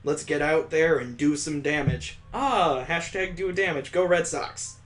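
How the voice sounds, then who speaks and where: Guy streamer reading game text out loud, kinda high voice, sarcastic little riffs. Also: measured pace, soft background music. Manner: sarcastic, amused, breaks off to riff. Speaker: gaming streamer reading a visual novel aloud. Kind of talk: reading game text aloud with joking asides.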